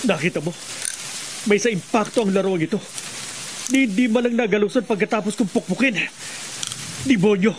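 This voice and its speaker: A man's voice: high voice, normal speed, pauses often, close to an everyday voice, poor audio. A man playing an intense, desperate and angry husband in a radio horror drama. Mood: horrified, angry. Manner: intense, emphatic. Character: desperate, enraged husband battling a cursed toy. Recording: clear rain-like noise behind.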